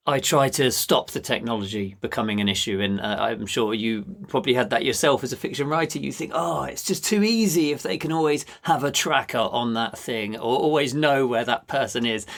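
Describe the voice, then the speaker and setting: A man's voice: mid-range voice, gravelly, a bit bright. A man hosting a casual interview, engaged and reflective as he picks up on his guest's ideas. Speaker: podcast host, fiction writer.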